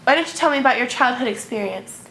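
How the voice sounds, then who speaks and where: A young woman playing a talk show host who reads out her questions in a scripted, upbeat way. Her voice is a little high. The room is echoey, with faint hiss behind it. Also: animated, quick pace, bright, forceful tone. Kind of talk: school project mock talk show.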